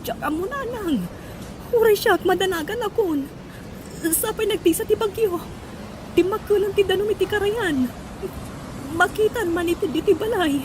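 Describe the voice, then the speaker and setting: A woman's voice: very high voice, normal speed, long pauses, background noise. A woman with an Ilocano accent playing a harsh, emotional mother in a radio drama. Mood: worried. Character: harsh, scolding mother of a schoolgirl, later frantic with worry. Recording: music under the voice.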